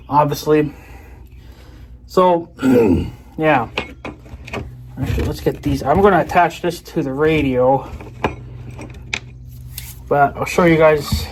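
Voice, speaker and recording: A man chatting casually to viewers as he shows off an item, rambling and often trailing off mid-sentence. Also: a little high. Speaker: video creator. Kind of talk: casual unboxing chat to viewers. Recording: clear background noise.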